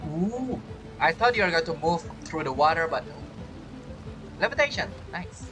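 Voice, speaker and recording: Low-pitched, lively woman gamer. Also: moderate pace. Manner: rambling, thinking aloud, self-questioning. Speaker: gamer, video creator. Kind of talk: casual game playthrough commentary. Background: game music.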